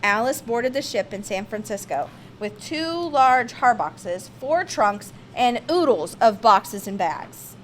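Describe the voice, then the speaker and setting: A woman's voice: fairly high pitch, lively intonation, takes it slowly, few pauses, slight background noise. A woman reads a children's picture-book biography aloud with theatrical, emphatic expression. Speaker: storybook reader.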